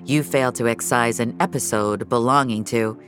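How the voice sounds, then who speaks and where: A woman's voice: extremely low pitch, moderate pace, fairly bright tone, faint music behind. A woman voicing a stern, accusing male boss with a dry, grave, clipped manner.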